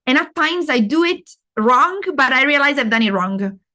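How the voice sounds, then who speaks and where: Italian lady presenting a masterclass, a bit high-pitched, walks through each step. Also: animated, normal speed, bright, forceful tone. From Italy. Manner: explains step by step, asks and answers her own questions. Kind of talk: interactive talk on learning.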